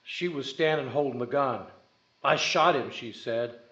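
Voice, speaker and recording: A man's voice: mid-range voice, measured pace, a bit bright, close to an everyday voice. Plain-spoken beat cop, roomy sound, acted by a man. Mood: matter-of-fact. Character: plain-spoken male beat policeman testifying. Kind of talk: 1950s radio drama acting. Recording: roomy, dull band-limited sound.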